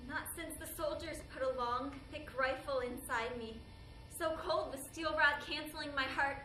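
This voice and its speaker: A traumatized woman , acted on stage, speaks her monologue in a high voice, numb and grieving. The hall rings with echo, and noise sits clearly behind her. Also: moderate pace, sharp tone, far from the mic. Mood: horrified. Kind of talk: theatrical monologue performance.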